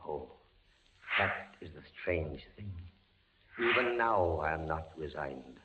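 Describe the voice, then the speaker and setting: An actor playing a tormented condemned prisoner in 1940s radio style, theatrical and halting, moving between dread, frenzy and grim calm. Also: male voice, rumbling low, heavily acted. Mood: resigned calm. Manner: theatrical, halting, intense delivery. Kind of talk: 1940s radio acting, dramatic horror monologue. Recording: noisy muffled 1940s radio broadcast.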